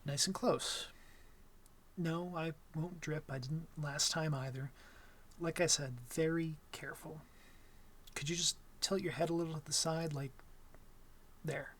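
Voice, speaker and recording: A man playing a shy, awkward young vampire in an intimate ASMR roleplay, gentle and smitten, speaking softly and hesitantly with stammers and apologies. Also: a little high, steady tone, a little slow, pauses often, a little hiss or hum, close to an everyday voice. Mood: careful, intimate.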